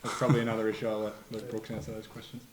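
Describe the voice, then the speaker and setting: Fast-talking laid-back Australian man. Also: on the low side, completely flat delivery, echoey room, crowd noise. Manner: laid-back, slangy, matter-of-fact. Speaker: rugby player. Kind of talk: press conference answers.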